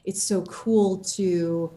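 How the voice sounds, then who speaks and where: A woman's voice: medium pitch, steady tone, extremely slow, warm tone, a little hiss or hum. A woman chatting casually as an interviewed actor, bubbly and giggly, with playful slang, recorded in a room at home. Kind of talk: casual chat in a video interview. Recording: echoey room.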